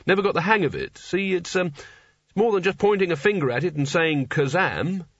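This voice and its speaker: A man's voice: high-pitched, normal speed, a bit bright, dry recording, muffled recording, voice pushed up. A man voicing a hapless, reluctant wizard in an audiobook, with a weary and slightly pained manner. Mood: apologetic. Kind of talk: audiobook character dialogue.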